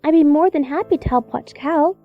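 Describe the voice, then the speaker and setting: A woman voicing an earnest, caring young pony who is gently serious, on a dull microphone, in an audio drama. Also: high-pitched, brisk, soft background music, natural voice. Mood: supportive. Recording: dull, band-limited microphone.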